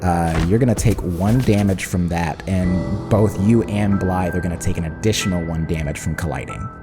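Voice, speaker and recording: A man's voice: low voice, moderate pace, over music. A man narrating a tabletop roleplaying game as game master, describing the scene dramatically.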